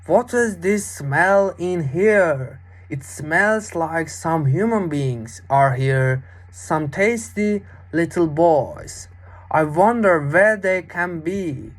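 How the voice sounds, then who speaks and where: A woman voicing a big, sly, child-eating monster in a fairy tale, with slow, drawn-out questioning. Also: on the low side, animated. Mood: hungry, sniffing.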